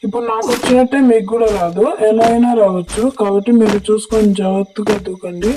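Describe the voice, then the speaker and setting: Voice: medium pitch, fairly even intonation, fast, noisy background. A gaming creator with a Telugu accent and a voice changer effect, giving instructional, repetitive gameplay tutorial commentary. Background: music.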